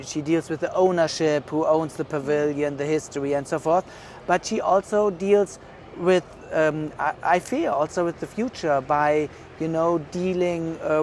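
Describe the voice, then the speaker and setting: A man with a German accent speaks in a slightly raised voice, pausing often and reaching for words as he thinks aloud. He is recorded outdoors, with faint traffic and city noise behind him. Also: a little high. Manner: thoughtful, hesitant, many fillers. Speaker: curator being interviewed. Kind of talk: casual interview. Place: outdoors at an art exhibition.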